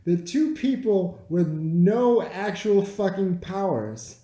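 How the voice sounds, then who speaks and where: A man's voice: high-pitched, slow, warm tone. A man co-hosting an amateur movie review in casual back-and-forth, stubbornly repeating his points. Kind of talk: casual argumentative film chat between friends. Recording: echoey room with slight noise.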